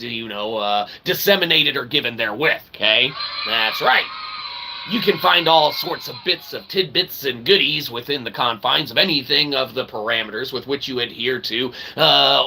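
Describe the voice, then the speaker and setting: A man with a creaky, gravelly voice at an ordinary pitch rambles philosophically to his viewers. He talks in wordy, mock-formal phrases, then drops into folksy asides and emphatic check-ins. Clear ambient noise sits behind him. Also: bright, forceful tone, rough recording. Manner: emphatic, often checking in with "okay" and "right". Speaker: channel host.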